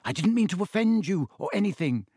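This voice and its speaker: A man's voice: sits high, expressive, brisk, darkish tone, husky, voice pushed up. A man voicing a polite, nervous young boy in an audiobook, hesitant and earnest. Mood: concerned. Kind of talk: audiobook character voice.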